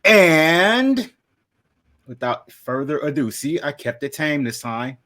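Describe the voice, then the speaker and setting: Joking male co-host with a fairly high voice, playful promo patter. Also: sing-song, slow pace, a bit bright. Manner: joking, hammy, teasing. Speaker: podcast co-host. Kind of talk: playful podcast promo banter.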